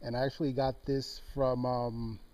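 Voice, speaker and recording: A man with a dark, mellow voice at a middling pitch chats casually as a ham radio operator. He sounds like he is talking informally with other hobbyists. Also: level tone, moderate pace, no room sound. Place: outdoors on a mountain, speaking into a handheld radio.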